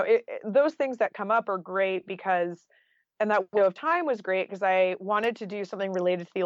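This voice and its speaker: A woman's voice: medium pitch, dry recording, muffled recording. A woman talking casually and informally as an interview guest, peppering her speech with "like" and "you know". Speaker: guest author and journalist.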